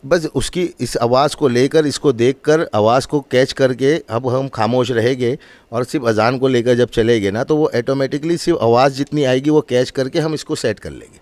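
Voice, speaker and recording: Male demonstrator, mid-pitched voice. Emphatic instructional delivery that restates each point. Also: steady tone, normal speed, darkish tone. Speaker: mosque representative demonstrating loudspeaker equipment. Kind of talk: spoken demonstration and explanation.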